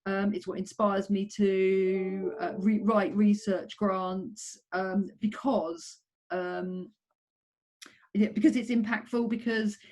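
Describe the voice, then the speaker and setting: A middle-aged woman answering questions in an interview. She speaks in an earnest, conversational way, often pausing on um and correcting herself. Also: mid-range voice, little pitch movement, a little mellow. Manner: earnest, frequent ums, self-corrections. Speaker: tourism researcher, interviewee.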